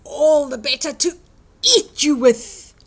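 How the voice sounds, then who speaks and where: A woman's voice: high-pitched, animated, takes it slowly, pitched above the performer's own voice. A woman voicing a sly, greedy male big bad wolf in a children's storybook, growling and falsely sweet. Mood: menacing. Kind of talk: children's storybook read-aloud.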